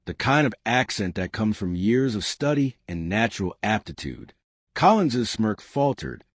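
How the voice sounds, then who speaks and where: A man's voice: on the low side, big pitch swings, measured pace, dry recording. A man narrating a scripted dramatic story in a storytelling manner.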